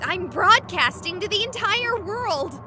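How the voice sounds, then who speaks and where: A woman's voice: very high voice, lively intonation, a little slow, bright, forceful tone, slight background noise, full character voice. An actress voicing a young woman streamer, skeptical and sarcastic but rattled by a haunting. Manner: sarcastic, flustered. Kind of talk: visual novel voice acting.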